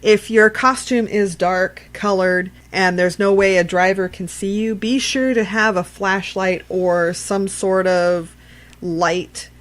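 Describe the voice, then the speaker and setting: A woman's voice: medium pitch, fairly even intonation, slow. A woman co-hosting a casual show, earnestly explaining safety tips at length. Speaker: podcast co-host. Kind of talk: casual chat.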